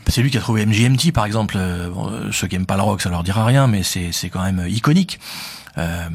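A man with a Parisian accent, a radio host interviewed about his career, talking quickly with many fillers. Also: lowish voice, vocal fry, husky. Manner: fast, hesitant with many fillers. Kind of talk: conversational interview.

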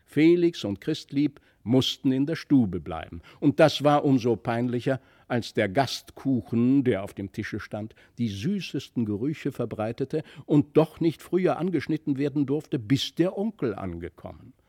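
A man narrating a literary fairy tale from a script, with expressive, deliberate storytelling. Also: medium pitch. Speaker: audiobook narrator.

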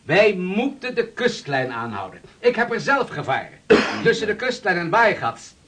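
A man's voice: high voice, very animated, normal speed, a bit bright, heavily acted. A man playing an insistent merchant who urges and argues about sea routes. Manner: persuasive, urgent. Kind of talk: radio drama acting.

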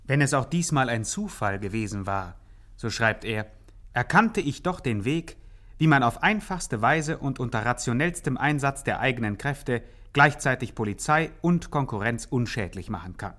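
A male narrator of middling pitch reads in 1950s radio serial style. He is formal and precise, keeping a mock-serious tone throughout. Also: lively intonation, normal speed, fairly bright tone.

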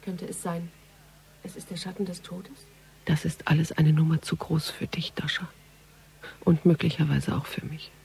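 An actress playing a sharp-minded, pensive woman investigator in a radio crime drama, speaking deliberately. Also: low-pitched, fairly even intonation, gravelly, husky, heavily acted. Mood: grave, subdued. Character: brooding, sharp-minded female investigator on a case.

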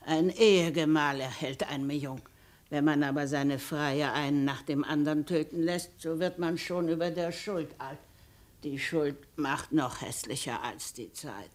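An actress playing a very old, mild and candid servant in a 1950s radio play, respectful yet gently admonishing. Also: female voice, low voice, a little slow. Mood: gently reproachful.

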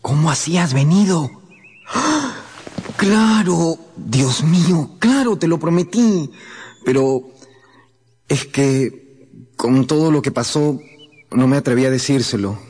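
An actor playing an earnest, anxious young nephew in a radio drama, hesitant and dramatic. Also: male voice, a little high, animated, slow, long pauses, darkish tone, breathy edge, full character voice. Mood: flustered.

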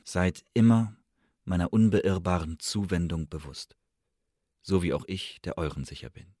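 A man's voice: deep voice, normal speed, warm tone, dry recording, studio quality. An actor playing a young Elizabethan poet-spy in a radio play, voicing his letters home in a lyrical, savoring manner. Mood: solemn, tender. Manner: lyrical, savoring descriptive lists. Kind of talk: German radio play monologue, literary letter.

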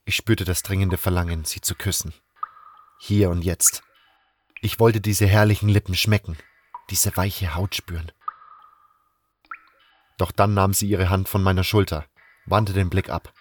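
A man's voice: low voice, steady tone, unhurried, pauses often, a little hiss or hum. A man playing an earnest, brooding adventurer who tells his own story in a fan audio drama. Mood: wistful. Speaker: narrator and lead actor in a fan audio drama. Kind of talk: first-person dramatic narration and dialogue.

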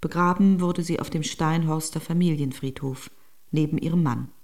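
An actress playing a daughter-in-law who fondly recounts family memories in a composed, anecdotal radio drama monologue. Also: female voice, low-pitched, moderate pace, darkish tone.

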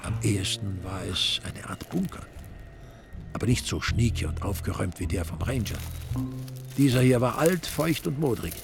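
A man narrates a crime story in a low voice with plenty of creak. His delivery is dry and wry, and music plays clearly behind him. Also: animated, normal speed. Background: music under the narration.

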